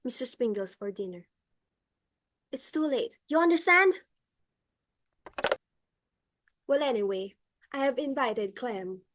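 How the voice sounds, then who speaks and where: A woman's voice: a little high, lively intonation, normal speed, noisy background, lightly acted. A woman playing a haughty, fretful society hostess in a stage comedy, exclaiming imperiously and melodramatically. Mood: brisk, bossy. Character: haughty, fretful society matron hosting a dinner party. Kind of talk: theatrical stage comedy acting.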